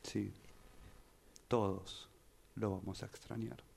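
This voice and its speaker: Grieving secretive guy man acting, slow n creaky, pensive short phrases, improv radio play, slight noise behind. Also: lowish voice, little pitch movement, a little mellow, heavily acted. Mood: mournful. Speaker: radio drama workshop participant.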